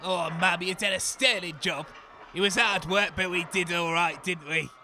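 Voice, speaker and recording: A man's voice: sits high, moderate pace, pushed and bright, faint music behind, heavily acted. A man voicing a cheerful grown son who praises his mother. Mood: cheery.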